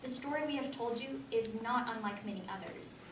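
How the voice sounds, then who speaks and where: A woman's voice: a little high, fairly quick, a little hiss or hum, lightly acted. Actress as earnest woman adoptee, distant echoey stage. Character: nervous young adoptee woman searching for her birth mother. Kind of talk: stage play monologue and dialogue.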